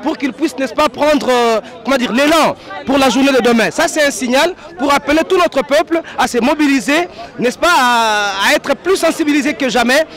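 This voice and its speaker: Very high-pitched Congolese man at street rally. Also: moderate pace, a bit bright. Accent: Congolese French. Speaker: rally participant and spokesperson. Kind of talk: street interview, political commentary. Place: outdoors in a city square. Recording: outdoor street with crowd noise.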